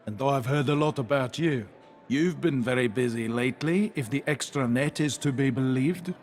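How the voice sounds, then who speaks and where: Wealthy male villain host for a game, mid-pitched, haughty, suspicious, politely threatening; a man acting. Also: close to an everyday voice. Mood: coolly courteous. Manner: haughty, measured, falsely courteous. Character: smooth, suspicious wealthy crime-boss host, coolly menacing.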